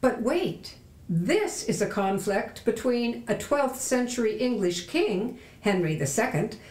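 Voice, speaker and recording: A woman's voice: mid-range voice, darkish tone, recorded from a distance. A woman presenter reading a scripted introduction with dramatic narration. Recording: echoey room, away from microphone.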